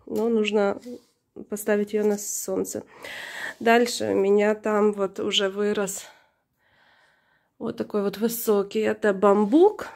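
A woman narrating her own home video casually and hesitantly, slightly hoarse from being unwell. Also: medium pitch, level tone, unhurried, long pauses, darkish tone. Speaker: plant vlogger. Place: indoors, room in a flat. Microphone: handheld camera or phone, behind the camera.

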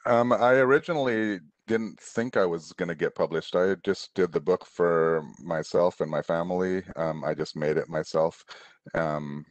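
A middle-aged man explaining things in a casual, halting, thoughtful way as a guest author answering questions on a video call. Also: deep voice, fairly even intonation, dry recording, highs cut off. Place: small room at home, indoors, on a video call. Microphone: headset on a video call.

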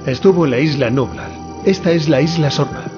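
Guy voicing young assistant in a game, alert, bit high, music behind, muffled. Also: brisk, natural voice. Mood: wry, puzzled. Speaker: voice actor. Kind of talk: Spanish game dub of film dialogue.

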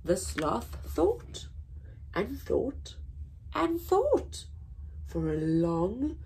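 A young woman hosting a children's story time, reading aloud in an animated, deliberate way with drawn-out emphasis. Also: fairly high pitch, gravelly, a little hiss or hum, low-quality recording. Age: young adult. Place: small indoor room with painted backdrop.

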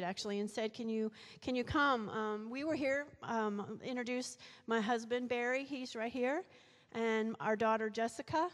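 A middle-aged woman speaking as guest speaker at a church lectern, croaky from a cold, chatty with frequent fillers, into a handheld mic. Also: fairly high pitch. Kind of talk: sermon introduction. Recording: reverberant church hall.

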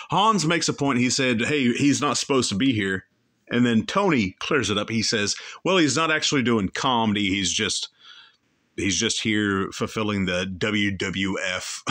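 A man's voice: mid-range voice, a bit bright. Guy hosting a podcast, rambles and backtracks a lot, voice goes up and down all over. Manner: rambling, opinionated, self-correcting. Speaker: podcast host reacting to a clip. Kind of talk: casual commentary.

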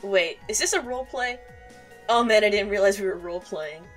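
Bright, breezy young female fan voiced by a woman. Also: medium pitch, fairly quick, soft background music, natural voice. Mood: confused. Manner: casual, slangy, teasing. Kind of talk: animated comic dub voice acting.

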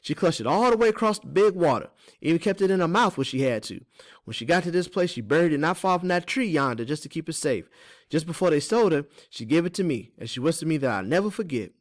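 A man voicing a kindly older enslaved woman, a wise herbalist telling things in a gentle, folksy way for a storybook reading. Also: a little high, animated, fast talker, voice pushed up, close to an everyday voice. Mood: tender, wistful.

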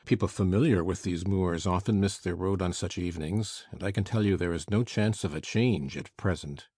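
A man voicing a surly, savage middle-aged landlord with a gruff, sneering delivery. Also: low voice, moderate pace, warm tone, no echo, clean studio sound, pitched below the performer's own voice.

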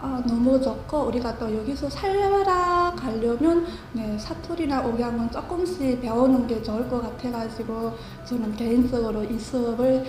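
A woman's voice: on the high side, normal speed, hardly any pauses, darkish tone, reverberant, a little hiss or hum, low-quality recording. North Korean woman, earnest student. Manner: earnest, repeats after the teacher. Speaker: speech student. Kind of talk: interview and pronunciation drills.

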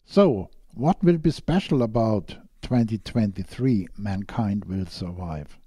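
A man's voice: fairly low pitch, animated, slow pace, dark tone, slightly rough, no echo, clean studio sound. A man working as a podcast interviewer, speaking in a prepared, scripted style.

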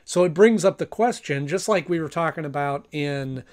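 A man's voice: fairly high pitch, moderate pace. A man in his thirties giving a casual review to camera as host of a video. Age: 30s. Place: small home room, indoors.

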